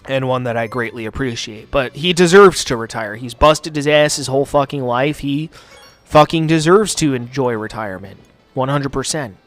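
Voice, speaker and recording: Young american guy chatting loose and cussing a lot, middle pitch, faint music in the back. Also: a bit bright. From United States. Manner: profane, rambling, filler-heavy. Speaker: gaming channel host. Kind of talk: casual rambling chat. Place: home basement studio.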